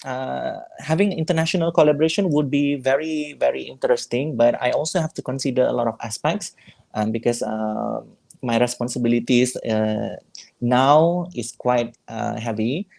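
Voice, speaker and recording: A man's voice: mid-range voice, moderate pace, creaky, darkish tone. A man, a former scholarship student and teacher, answers interview questions in a casual, hesitant way, with many fillers and restarts. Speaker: guest teacher, scholarship alumnus.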